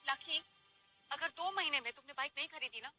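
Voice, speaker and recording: A woman's voice: sits high, brisk, loud music behind the voice, close to an everyday voice. A woman playing a sulky, demanding young girlfriend in an audio story. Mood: sulky, annoyed. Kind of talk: audio story character acting.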